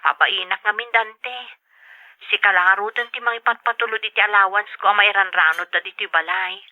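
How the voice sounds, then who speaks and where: A woman in a family audio drama, played by an actress. The sound is thin and tinny like a telephone call, with no room around it. Her voice sits at a middling pitch. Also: fairly even intonation, brisk, dry recording, lightly acted. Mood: apologetic, pleading. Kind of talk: radio drama acting in Ilocano. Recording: narrow, tinny phone-like sound.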